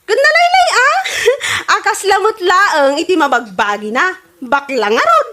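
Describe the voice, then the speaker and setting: Actress as nagging ilocano wife, high swoopy voice, envious and braggy, comedy radio drama. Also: female voice, sing-song, a little slow, bright, forceful tone, full character voice. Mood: gloating. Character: boastful, nagging wife jealous of neighbour's garden.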